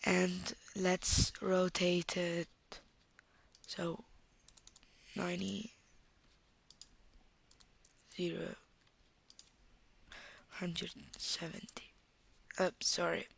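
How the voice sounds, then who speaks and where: A young Italian woman with an Italian accent and a cold, talking through a screen-recorded software tutorial and thinking aloud as she clicks, at a desktop mic. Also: fairly low pitch, flat delivery, drawn-out words, gravelly, long pauses, slightly rough. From Italy. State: has a cold.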